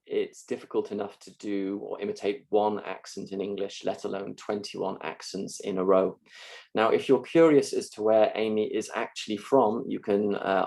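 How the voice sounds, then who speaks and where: Male linguistics lecturer, low gravelly voice, measured delivery. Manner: measured, explanatory, calm. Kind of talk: scripted mock lecture.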